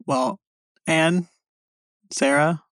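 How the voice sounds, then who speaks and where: A man's voice: on the high side, very slow, a bit bright, dry recording. A man hosting a podcast, chatting casually with his guest and making dry, playful asides. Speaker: podcast host. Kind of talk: casual chat.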